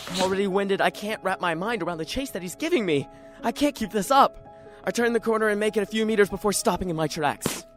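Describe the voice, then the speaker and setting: A performer voicing an excitable, jittery library regular who tells the story in dramatic, self-questioning first person, as in a radio drama. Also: mid-range voice, natural voice. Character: jittery, excitable library regular narrating his own strange chase.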